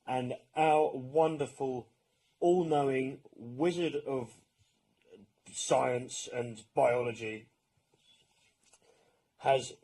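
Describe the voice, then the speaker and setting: A man's voice: mid-range voice, drawn-out words, pauses often, warm tone, poor audio. A British man giving a mocking opinion rant as an online commentator, with a sarcastic, exasperated, informal manner.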